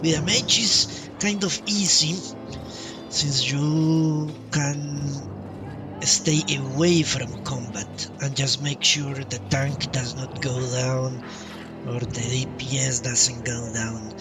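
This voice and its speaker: A man gives casual game commentary at a slow pace, often repeating words as he thinks aloud. His pitch is average, and he sounds a bit away from the mic with game music clearly playing. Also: fairly even intonation, recorded from a distance. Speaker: game streamer. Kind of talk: casual live playthrough commentary. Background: video game music.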